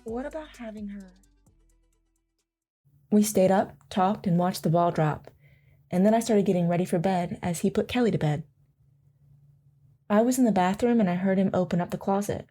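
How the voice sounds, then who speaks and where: A woman's voice: mid-range voice, normal speed, long pauses, dark tone, lightly acted. Casting: actress as soldier's wife, devoted mother, reflective and tender audio drama delivery, music underneath. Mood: wistful, reminiscing.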